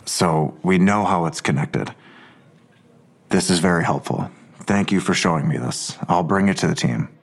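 A man's voice: low-pitched, moderate pace. A man playing a weary, skeptical investigator, sardonic and dismissive, in a naturalistic audio drama. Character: Blossom, weary skeptical male investigator, a father.

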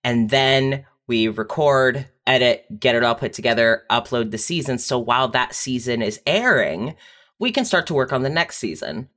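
A writer speaking as a podcast guest, chatting casually and in an animated, self-deprecating way about their own experience. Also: medium pitch, moderate pace. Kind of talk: casual panel chat, telling own experience.

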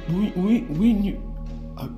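A confused, halting older man. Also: high-pitched. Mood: confused, remorseful. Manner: halting, faltering, repeats himself. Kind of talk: audio drama acting. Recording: echoey room, music behind.

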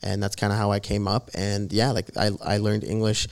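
A man's voice: on the low side, little pitch movement, fairly quick, smooth. A man in his twenties from Jordan, a podcast guest telling his story in a loose, rambling way full of fillers. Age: 20s. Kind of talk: casual interview chat.

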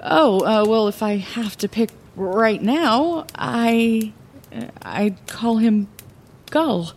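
A woman's voice: on the high side, very slow, heavily acted. A woman playing a scatterbrained, insecure but plucky heroine who tells her own story in a fantasy audio drama, often hesitant. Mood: nervous, hesitant. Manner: flustered, hesitant, expressive. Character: scatterbrained, insecure, plucky lighthouse keeper and would-be bard.

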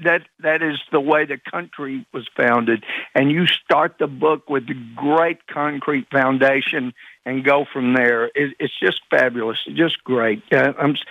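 A man from East Texas, slightly raspy, chatting in a folksy way full of fillers as a guest. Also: fairly high pitch, dry recording. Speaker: congressman guest. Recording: dull lo-fi line.

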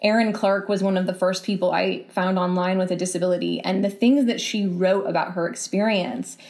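A woman speaking as a guest in a podcast interview, reflective and explanatory, often pausing mid-phrase and softening points with casual qualifiers. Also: on the low side, fairly even intonation. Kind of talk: thoughtful podcast interview conversation.

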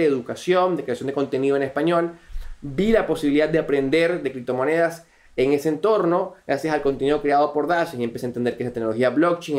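A man's voice: medium pitch, darkish tone. A young man with a Venezuelan accent, a podcast guest telling his story earnestly and fluently, with frequent restarts. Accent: Venezuelan Spanish. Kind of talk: podcast interview.

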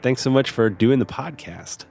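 A man hosting a podcast, casually chatting while losing his voice, relaxed and self-deprecating. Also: mid-range voice, normal speed, vocal fry, soft background music. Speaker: podcast host. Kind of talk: casual podcast chat and interviewing.

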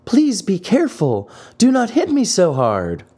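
A tiny, childlike voice piping up from inside a log of firewood, as a man puts it on. It squeaks high above his usual pitch, small and far-sounding, like a storybook creature. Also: huge pitch swings, a little slow, dark tone, husky, heavily acted. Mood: pleading. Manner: pleading, then giggling.

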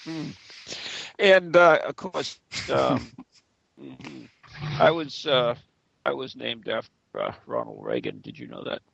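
A man's voice: mid-range voice, very animated, slow pace, gravelly, a bit bright, muffled recording. A man hosting a radio show, chatting playfully and rambling with fillers. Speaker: host. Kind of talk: jokey radio show chat.

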